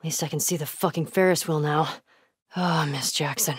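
A woman's voice: low-pitched, fairly even intonation, moderate pace, husky, dry recording, clean studio sound. A young woman playing a tough, foul-mouthed, wry survivor in a video game, muttering in terse, conversational asides. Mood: wry, matter-of-fact. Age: young adult. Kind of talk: video game voice acting, naturalistic.

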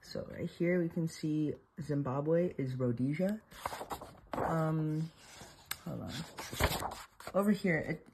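A speaker with a slight lisp giving a casual, unscripted show-and-tell in a halting, self-correcting way. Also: medium pitch, background noise. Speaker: presenter showing an old atlas.

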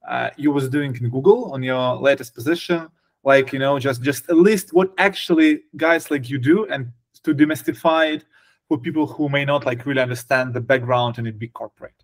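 Guy hosting a podcast, kinda hesitant, lots of ums and likes, mid pitch. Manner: hesitant, many fillers and restarts. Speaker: podcast host. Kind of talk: casual interview hosting.

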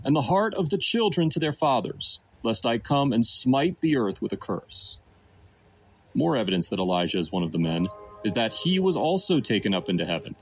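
A man's voice: mid-range voice, slight background noise, low-quality recording. A man delivering a scripted Bible sermon and reading scripture in an emphatic, declarative way. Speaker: Bible teacher and narrator. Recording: music playing under the voice. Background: music.

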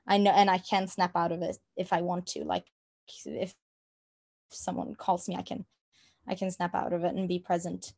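A young Norwegian-accented woman speaks in a lowish, fairly flat voice. She talks casually in an interview, often pausing with fillers and restarting her sentences. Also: gravelly. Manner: hesitant, many fillers and restarts. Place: room at home.

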